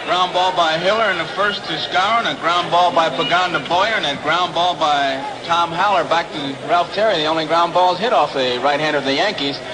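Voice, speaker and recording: A man's voice: a little high, brisk, few pauses, crowd noise, poor audio. An American man giving color commentary on a 1960s radio baseball broadcast from an outdoor ballpark.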